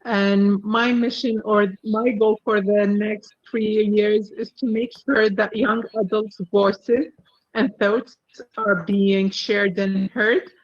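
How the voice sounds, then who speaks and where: A woman's voice: medium pitch, level tone, takes it slowly, dry recording, dull audio. A young woman from Iraq introducing herself earnestly as a regional representative on a video call. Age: young adult.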